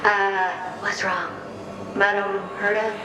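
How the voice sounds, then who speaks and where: An actress voicing a sentient mirror in a video game, a squeamish, timid but cheeky sidekick with an excitable, expressive delivery and an echoing effect. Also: female voice, medium pitch, slow, noisy background. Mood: nervous. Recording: echoey, distant, loud music behind.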